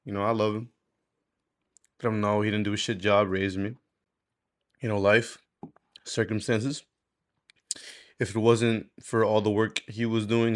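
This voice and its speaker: A man, speaking candidly in a rambling, slangy, sweary way, as in a casual confessional video diary. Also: on the low side. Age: 20s. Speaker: vlogger.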